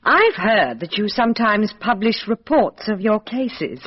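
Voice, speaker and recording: A vain aristocratic murderess talks airily, her pitch swooping extravagantly. The voice is breathy and wholly unrepentant. It is a muffled radio drama recording. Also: sing-song, a little slow, no echo. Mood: airy, unrepentant. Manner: airy, self-absorbed, unrepentant.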